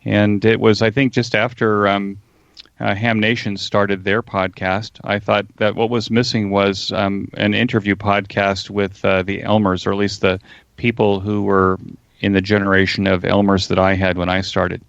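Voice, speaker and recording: A middle-aged or older man giving casual interview answers as a guest, halting and pausing mid-sentence. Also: fairly low pitch, little pitch movement, gravelly, dry recording. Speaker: interview guest, ham radio podcaster. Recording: dull, lo-fi remote connection.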